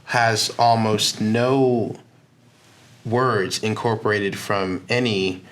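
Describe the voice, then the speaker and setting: A man's voice: on the low side, fairly even intonation, very slow, a bit bright. A man hosting a podcast interview, talking in a chatty, enthusiastic way. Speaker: podcast host. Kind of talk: casual interview conversation.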